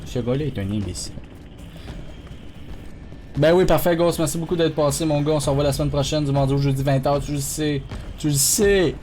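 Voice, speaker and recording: A man with a Quebec French accent, an excitable streamer swearing often and talking to his chat during live gameplay commentary. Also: on the high side, a little fast, long pauses, a little hiss or hum.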